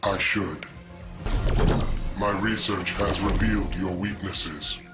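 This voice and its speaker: An actor playing a cold, emotionless cyborg villain in a video game, speaking in formal, clipped, precise phrases. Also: male voice, low-pitched, steady tone, takes it slowly. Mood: cold, menacing. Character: cold emotionless evil cyborg seeking human feeling. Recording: game music behind.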